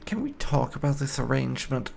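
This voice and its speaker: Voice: mid-range voice, big pitch swings, a little fast, vocal fry, a little mellow, a little hiss or hum, voice pushed up. A gamer voicing a reluctant, grumbling young elf bride in a whining, sarcastic way. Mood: protesting.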